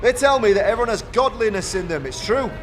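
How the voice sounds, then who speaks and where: A man voicing a gruff, self-important, defensive foundry foreman with rustic, blustering speech in a fantasy audio drama. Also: sits high, expressive, fast talker, pitched above the performer's own voice. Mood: pompous. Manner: rustic dialect grammar, blustering, self-justifying.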